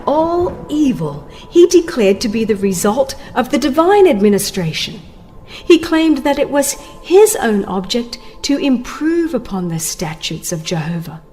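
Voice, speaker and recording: A woman reading a religious text as a dramatized audiobook narration, solemn, emphatic and deliberate in delivery. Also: a little high, sing-song, mellow. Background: music.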